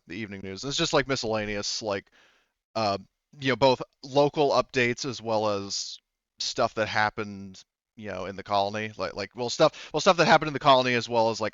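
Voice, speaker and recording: Smooth-voiced male game master, low and rambling. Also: a bit bright, no room sound, lo-fi sound. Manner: hesitant, rambling, improvised phrasing. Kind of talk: narrating a tabletop roleplaying game.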